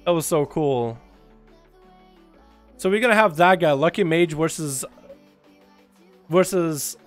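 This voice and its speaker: A man with an American accent, a Russian speaker, hosting a livestream of game duels and chatting with viewers. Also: a little high. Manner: excitable play-by-play, shouts at big moments. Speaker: streamer casting game duels. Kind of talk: livestream commentary and chat.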